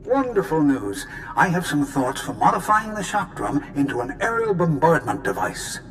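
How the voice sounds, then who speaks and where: A man's voice: on the high side, sing-song, normal speed, fairly bright tone, long reverb tail, a little hiss or hum, low-quality recording. A man playing an eager, inventive scientist in a video game. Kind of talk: dramatic video game acting.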